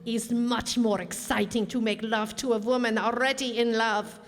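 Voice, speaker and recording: A woman's voice: fairly high pitch, steady tone, moderate pace, background noise, lightly acted. A woman playing a gloating, lecherous carrot tyrant in a theatrical, leering radio-play style, savoring each phrase. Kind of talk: old-time radio play acting on stage. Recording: echoey hall stage performance.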